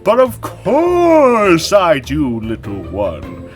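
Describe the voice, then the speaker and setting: A man's voice: a little high, huge pitch swings, pitched above the performer's own voice, exaggerated voice. Pompous lion, overacted and swooping; male narrator voicing him, music under. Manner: grand, drawn-out, theatrical delivery.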